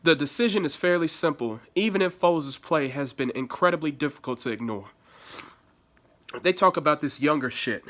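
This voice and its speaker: Male vlogger, slightly high voice, congested, blunt and profane opinion delivery. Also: expressive, moderate pace, fairly bright tone. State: stuffy with allergies. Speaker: sports commentary vlogger. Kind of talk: casual opinionated commentary reading an article.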